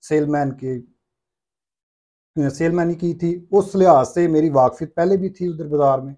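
A man in his thirties answers questions as a small business owner. He has a Pakistani accent and a mellow, relaxed tone, pitched slightly high. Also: measured pace. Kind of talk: interview answers. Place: small brick-walled workshop room indoors.

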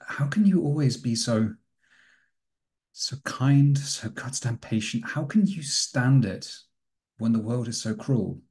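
An anguished male doctor played by a man pleads bitterly with his brother. His voice climbs high above the player's usual talk, impassioned, in a tabletop role-playing drama. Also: expressive, slow pace, darkish tone. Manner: impassioned, raised delivery.

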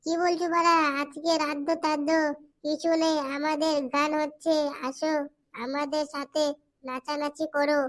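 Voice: sits high, little pitch movement, normal speed, fairly bright tone. A performer voicing a pushy, foul-mouthed young village lad in a comic cartoon, with crude bossy slang.

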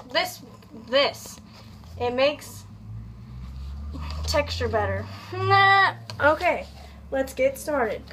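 Young woman, high voice, slow pace, playful home cooking host, casual, goofy asides, light kitchen noise. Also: big pitch swings, fairly bright tone. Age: young adult. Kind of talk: casual comedic cooking tutorial. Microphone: camera on counter at about arm's length. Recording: background kitchen noise, clicks.

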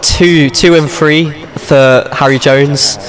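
A man's voice: fairly high pitch, fairly even intonation, slow pace. Young man in casual sports commentary. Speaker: badminton commentator. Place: large indoor sports hall.

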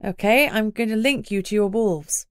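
A woman voicing a brisk, resolute female alpha werewolf in an audiobook, firm and instructing. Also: mid-range voice, clean tone, dry recording, studio quality, close to an everyday voice. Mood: commanding.

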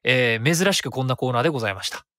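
A man's voice: medium pitch, expressive, racing, bright, forceful tone. A man hosting a solo radio show in casual talk, chatty and rambling, thinking aloud and correcting himself as he goes. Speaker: radio host. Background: background music.

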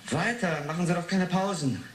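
A male actor plays a police inspector in a 1980s radio drama, curt and suspicious. His voice sits a little high and he presses with deliberate, probing phrases. The recording is roomy with faint noise. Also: natural voice. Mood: brusque, probing. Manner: brusque, probing, slow deliberate reading. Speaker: police investigator. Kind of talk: 1980s German radio play acting.

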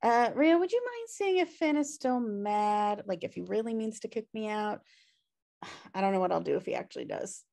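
A woman's voice: mid-range voice, animated, normal speed, gravelly, darkish tone. A woman reading the part of a sardonic, wisecracking slacker sister in a sitcom table read over a video call. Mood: exasperated. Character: flippant, sardonic slacker sister, adult twin. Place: indoor room at home on video call.

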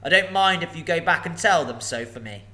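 A man voicing a sly, menacing old male dragon, slow and mocking. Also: fairly high pitch, expressive, pushed and bright, pitched above the performer's own voice. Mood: sneering.

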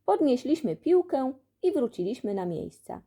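A woman narrating a children's audiobook expressively, telling the story in an animated way. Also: sits high, moderate pace, breathy edge. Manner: animated storytelling, acts out dialogue. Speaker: audiobook reader.

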